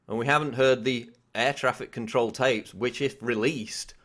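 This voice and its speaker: A man's voice: mid-range voice, fairly even intonation, normal speed, a bit bright. A man delivering political news commentary in an insistent, questioning manner. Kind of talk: scripted news commentary to camera.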